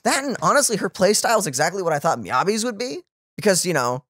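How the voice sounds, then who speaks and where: A young man giving casual reaction commentary, animated and often searching for words. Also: high-pitched, fast talker, dry recording, studio quality. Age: young adult. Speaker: reaction channel host. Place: small room at home. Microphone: boom-arm microphone, close.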